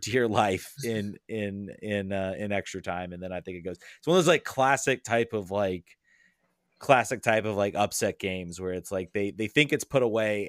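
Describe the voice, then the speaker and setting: A man's voice: on the low side, no echo, studio quality. A man chatting casually as a guest on a fan podcast, rambling and laughing easily.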